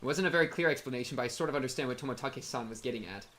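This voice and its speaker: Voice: medium pitch, racing, fairly bright tone. A streamer giving casual, wry, slangy reaction commentary and reading game narration aloud. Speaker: streamer playing and reacting to a visual novel.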